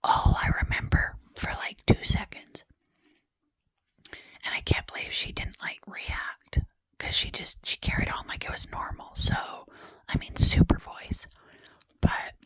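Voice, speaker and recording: A woman's voice: a little slow, a bit bright, no room sound. A woman whispering a rambling personal story in ASMR style, self-deprecating and full of fillers. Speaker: ASMR creator.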